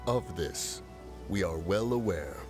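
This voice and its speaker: A grave ancient male immortal in a fantasy game. His low voice is measured, formal and respectful, with music clearly behind it. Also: expressive. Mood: reverent. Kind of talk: fantasy game cutscene acting.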